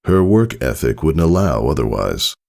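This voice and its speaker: A man's voice: a bass voice, big pitch swings, normal speed, vocal fry, darkish tone. A man reading a story aloud as narrator, in a scripted storybook style. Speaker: narrator, fan fiction reader.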